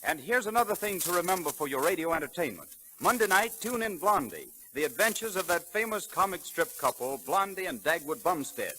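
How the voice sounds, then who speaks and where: A man's voice: sits high, very animated, a little fast, hardly any pauses, sharp tone, slight background noise, poor audio. An American man announcing a scripted 1930s radio commercial with a polished salesman's emphasis, on an old broadcast recording. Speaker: radio announcer reading sponsor ads.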